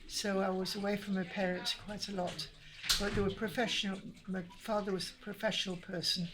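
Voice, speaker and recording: An older woman from Manchester speaks with a Lancashire accent at an everyday middle pitch. She reminisces in a chatty, meandering way, often restarting her sentences. A faint background hiss sits under her voice. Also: level tone, fairly quick. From Manchester, England. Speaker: interviewee. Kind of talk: casual interview chat.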